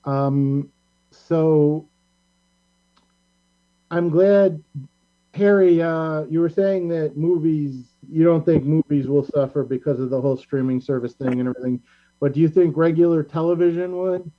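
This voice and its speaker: A man's voice: on the high side, steady tone. Rambling man hosting in dull lo-fi sound. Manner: rambling, opinionated, halting. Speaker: talk show host. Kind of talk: casual pop culture discussion. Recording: band-limited, dull lo-fi sound.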